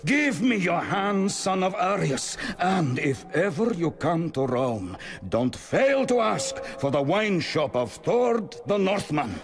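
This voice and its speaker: An actor playing a gruffly jovial Northman gladiator and hired killer in a radio drama, theatrical and emphatic. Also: high-pitched, big pitch swings, takes it slowly, hardly any pauses, full character voice. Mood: hearty, jovial.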